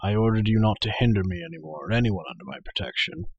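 A man's voice: fairly low pitch, expressive, quick pace, breathy edge, no room sound, dull audio. A man voicing a stern, authoritative warrior prince in a storybook, speaking firmly and with restraint. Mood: severe, annoyed. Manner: firm, severe, restrained. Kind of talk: storybook character voice.

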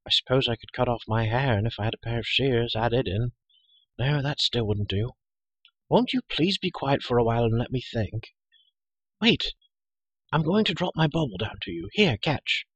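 Storybook young girl, played by a male reader: brisk, talkative, matter-of-fact, lively pitch, slightly breathy, dry sound. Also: no room sound, lo-fi sound. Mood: brisk, dismissive. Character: chatty, brisk, self-assured young girl.

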